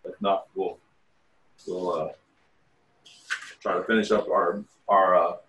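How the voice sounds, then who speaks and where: A man's voice: low voice, flat delivery, takes it slowly, fairly bright tone, distant mic, slight background noise. A man in his fifties, a tai chi teacher, talking frankly and rambling a bit to his group at a meeting. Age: 50s. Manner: rambling, frank, self-deprecating asides. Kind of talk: casual group meeting talk.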